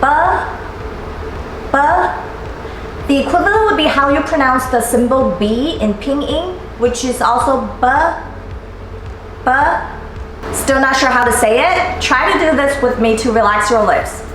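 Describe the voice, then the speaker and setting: A woman, teaching a scripted language lesson at home in an upbeat, playful way and saying sounds slowly and clearly for learners to repeat. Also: high-pitched, animated, background noise. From Taiwan. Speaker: Mandarin teacher. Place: living room at home. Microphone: camera at a distance, seated facing it. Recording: very echoey room, faint music.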